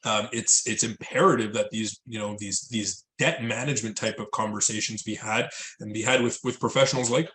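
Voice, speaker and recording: A man's voice: fairly low pitch, steady tone, a little fast, a bit bright, a little hiss or hum. A man co-hosting a money podcast on a video call, a financial advisor who rambles with lots of restarts and "you know.". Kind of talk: casual chat on a call. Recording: echoey call audio.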